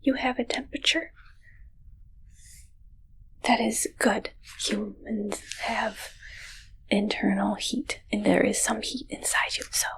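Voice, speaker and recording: A woman voicing an awkward alien posing as a medic in a whispered ASMR roleplay, with halting, broken speech and a puzzled manner. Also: mid-range voice, creaky, bright, forceful tone, slightly rough, exaggerated voice, rough recording. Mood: pleased.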